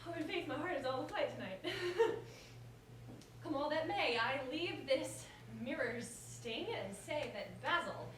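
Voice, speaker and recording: A woman's voice: sits high, animated, a little slow, fairly bright tone. A woman playing a witty, proud, passionate common woman in a staged verse comedy, with theatrical delivery. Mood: elated, wondering. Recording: roomy, distant mic, background noise.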